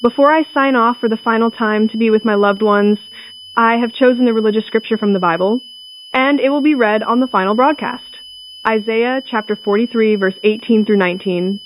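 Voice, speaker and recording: A woman playing a government representative reading a formal, solemn final emergency broadcast in a deliberate way. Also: fairly high pitch, natural voice. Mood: solemn farewell. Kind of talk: scripted emergency broadcast announcement. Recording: noisy, humming radio-like recording.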